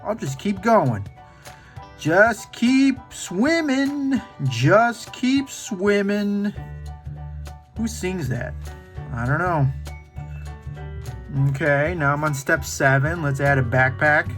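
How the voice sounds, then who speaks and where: Playful, lively man teaching kids to draw. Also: sits high, slow pace, long pauses, faint music behind. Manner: playful, encouraging, talks to kids directly. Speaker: children's drawing tutorial host. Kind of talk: playful drawing lesson for kids.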